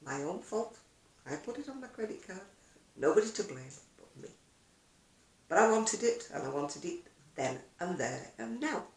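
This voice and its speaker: A woman's voice: medium pitch, sing-song, moderate pace, vocal fry. An older woman chatting casually to camera as a video blogger, rambling along with self-deprecating asides in an echoey room. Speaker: video blogger and craft seller.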